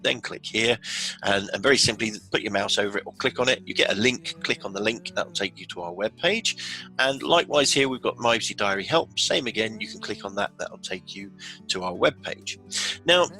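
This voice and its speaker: A man with a slightly low but bright, forceful voice leads a software tutorial. He explains each step aloud in an informal, conversational way, as if guiding someone through a screen. Faint music sits behind his voice. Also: poor audio. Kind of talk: informal instructional screen walkthrough.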